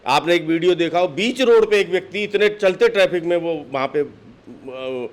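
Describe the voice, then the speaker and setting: A man with a notably high voice speaks as a political official in an interview. He is argumentative and insistent, pressing his case with one rhetorical question after another. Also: lively intonation, normal speed. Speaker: political party district official being interviewed. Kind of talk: impassioned interview answers.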